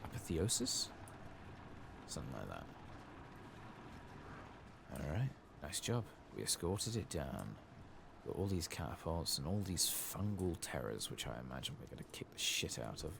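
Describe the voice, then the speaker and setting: A man giving soft-spoken, partly whispered ASMR commentary while he plays a game, casually muttering to himself. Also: extremely low pitch, sing-song, normal speed, gravelly, long pauses, noisy background. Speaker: gaming streamer.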